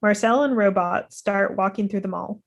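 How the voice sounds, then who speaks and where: A woman's voice: medium pitch, moderate pace, gravelly. A woman reading stage directions as scripted narration at a table read.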